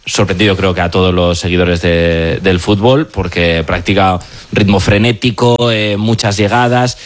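A man on a sports radio panel, giving measured football analysis. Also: low voice, fairly even intonation.

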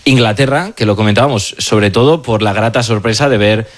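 Low-voiced man analysing football. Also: a little fast. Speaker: sports radio panelist.

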